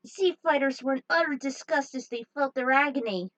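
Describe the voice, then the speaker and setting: A woman with a fairly high voice narrates a fan story as she plays a game. She moves haltingly between reading aloud and chatty remarks, recorded dry and close. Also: fairly bright tone, no room sound, rough recording. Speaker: fan fiction reader and game player. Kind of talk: narrating fan fiction over gameplay, with casual asides.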